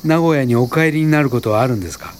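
An actor playing a gentle, earnest Buddhist priest in a radio drama, speaking formally and politely without hurry. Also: male voice, medium pitch, lively intonation, fast, slight background noise, natural voice. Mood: courteous.

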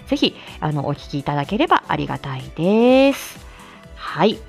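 A woman's voice: low-pitched, big pitch swings, normal speed, gravelly, soft background music. A woman hosting a casual morning live chat, chatty and giggly, politely explaining and slipping in playful asides. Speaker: live audio show host; speech-language therapist.

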